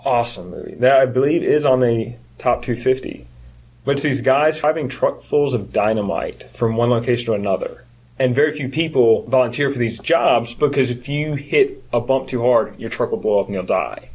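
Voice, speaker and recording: A man with a husky voice chatting casually about films as a podcast co-host. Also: medium pitch, normal speed, darkish tone.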